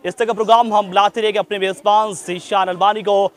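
A man's voice: high-pitched, fairly even intonation, fast. A man from Pakistan hosting a street interview, speaking in a formal, polite manner. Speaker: host.

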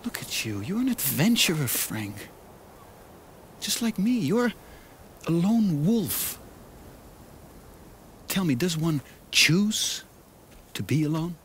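A man's voice: a little high, sing-song, slow pace, pauses often, a bit bright, slight background noise, full character voice. An actor playing an eccentric salesman in a cartoon, theatrical and ingratiating as he persuades with grand promises. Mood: coaxing, theatrical.

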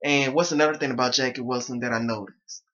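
A man's voice: medium pitch, fairly even intonation, fast talker, a bit bright, muffled recording. A young man talking casually to his viewers from his bedroom, his voice a little strained. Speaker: vlogger. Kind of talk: casual talk to camera. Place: small bedroom indoors.

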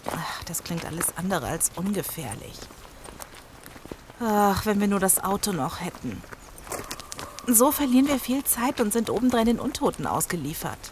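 A woman's voice: medium pitch, big pitch swings, moderate pace, slight background noise, natural voice. A woman playing a weary, level-headed survivor of a zombie apocalypse in an audio drama. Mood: serious. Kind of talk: audio drama acting and narration.

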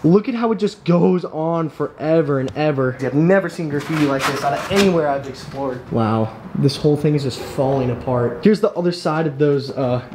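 An excitable young man with a high, bright-toned voice chats breathlessly on an action camera. He exclaims at each find and laughs often. Steady outdoor noise runs behind him. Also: moderate pace, few pauses. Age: young adult. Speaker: magnet fishing vlogger. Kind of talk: excited outdoor vlog chat. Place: outdoors by a pond at an abandoned building, then inside it.

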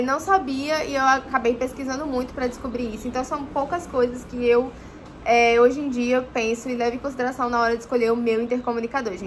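A young woman talks casually to the camera from her living room, chatty and loose with her fillers. Her voice sits high. A faint hiss runs behind her. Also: fairly bright tone. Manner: chatty, often says "gente", many fillers. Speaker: motorcycling vlogger.

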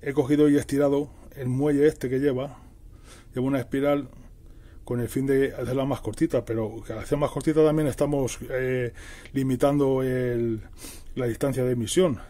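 A middle-aged man narrates a practical tutorial in a casual, explanatory way. His voice sits at an average pitch and is very dark and mellow. He often restates a phrase as he works through the steps. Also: fairly even intonation, moderate pace. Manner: explanatory, repeats and rephrases while working. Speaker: DIY tutorial host.

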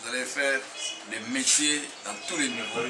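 A man in his sixties with a Congolese French accent, a provincial director speaking in a measured, formal way from a small bare room. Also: mid-range voice, steady tone, very noisy. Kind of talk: promotional appeal. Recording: roomy, distant, music underneath.

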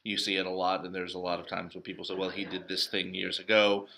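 Man in his thirties, deep voice, bright edge, casual chat, thoughtful phrasing. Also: monotone, fast, gravelly. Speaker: interview guest, stage actor. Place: indoors, small hall with cinder-block walls.

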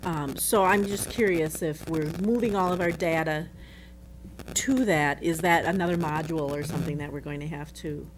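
Middle-aged woman speaking at a meeting, low voice. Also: background noise. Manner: halting, restarts and trails off between thoughts. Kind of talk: board meeting discussion. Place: board meeting room. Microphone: desk microphone.

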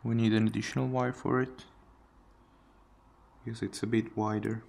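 Man, lowish gravelly voice, casual tech demo commentary, halting phrasing. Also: flat delivery, darkish tone. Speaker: tech hobbyist demonstrating a phone mod. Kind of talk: casual hands-on video commentary. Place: indoors, at a wooden table.

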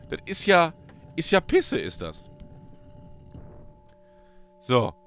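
Animated German man, hoarse from a cold. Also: drawn-out words, faint music behind. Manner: animated, swings from loud bursts to low mutters. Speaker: let's play host. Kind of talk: casual gameplay commentary.

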